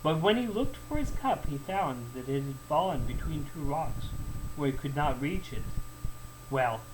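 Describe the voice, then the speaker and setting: A man narrating a folktale as a storyteller, in an expressive, deliberate and emphatic way. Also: on the high side. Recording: faint background noise.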